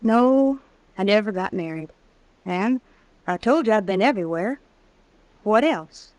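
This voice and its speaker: A woman's voice: medium pitch, expressive, a little slow, a little mellow, no room sound, natural voice. A woman playing a tough, guarded drifter in an old-time radio western, with a terse, probing way of talking. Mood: defensive. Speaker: radio drama actress. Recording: vintage radio drama recording.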